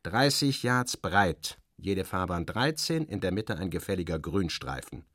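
A man's voice: low-pitched, big pitch swings, unhurried, a bit bright, dry recording, clean studio sound, exaggerated voice. An actor playing a sardonic, self-assured journalist, narrating his travels in a literary radio drama with wry, theatrical, clipped phrasing. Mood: approving, dry. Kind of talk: literary first-person narration, dramatic audio reading.

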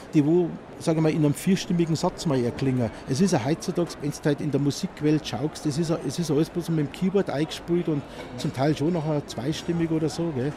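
A middle-aged man from Munich with a Bavarian accent, a bandleader chatting in a relaxed interview. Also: a little high. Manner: relaxed, chatty, with tag questions. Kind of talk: casual interview. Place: outdoors at a festival ground. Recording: outdoor traffic noise behind.

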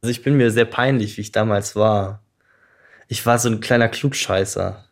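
A man, telling stories casually and haltingly as a guest at home. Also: fairly low pitch, creaky. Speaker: podcast guest. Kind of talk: casual conversational storytelling. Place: his own apartment.

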